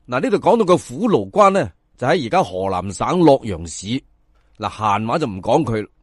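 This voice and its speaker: A man's voice: medium pitch, normal speed, breathy edge, no room sound, clean studio sound. A man with a Cantonese accent telling a folk tale in colloquial, dramatic narration, speaking to listeners in a conversational way. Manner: expressive, conversational asides to listeners. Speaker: storyteller.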